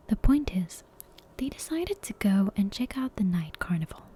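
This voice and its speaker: A woman's voice: medium pitch, slight background noise. Whispering woman reading a bedtime story. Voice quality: soft, breathy unvoiced whisper. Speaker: ASMR storyteller. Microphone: close ASMR microphone. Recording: clear background music and ambience. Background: soft game soundtrack music, ambience.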